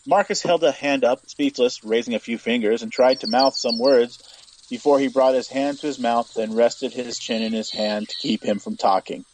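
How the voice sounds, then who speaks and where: A man's voice: mid-range voice, moderate pace, a little mellow, slight background noise, low-quality recording. A man reading scripted Western fiction aloud as the narrator of an audio story.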